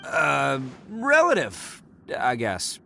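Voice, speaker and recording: A man's voice: fairly high pitch, wildly swooping pitch, drawn-out words, creaky, pushed and bright, slight background noise, full character voice. A man playing a brash, boastful young brawler in a video game, cocky and theatrical but good-hearted. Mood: awkward, reflective.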